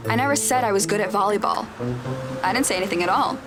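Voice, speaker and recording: A woman's voice: medium pitch, quick pace, music in the background, close to an everyday voice. A woman playing a self-assured, teasing teenage girl in an audio drama, wry and matter-of-fact.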